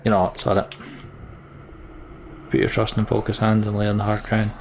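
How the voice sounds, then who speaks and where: A man's voice: on the low side, monotone, normal speed, creaky, a little mellow, a little hiss or hum. A man playing a video game, reading its story aloud dramatically with casual, mildly sweary asides. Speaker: let's player voicing a game's text. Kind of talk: gameplay commentary and dramatic read-aloud.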